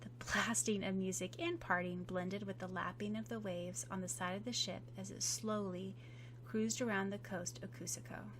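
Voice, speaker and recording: A woman narrating a fan-fiction audiobook in a soft, even reading voice that drops low at the ends of lines. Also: moderate pace, slight background noise.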